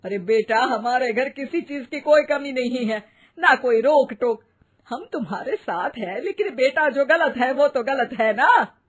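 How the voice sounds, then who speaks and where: A woman's voice: sits high, lively intonation, measured pace, slightly rough, full character voice. A woman voicing a caring but scolding older mother-in-law in a homely, exclaiming manner. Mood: firm, reproachful.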